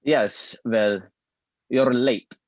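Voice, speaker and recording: An actor playing a stern, impatient old theater professor at a play table read, brusque and condescending. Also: male voice, medium pitch, very slow, no echo, highs cut off. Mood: dismissive.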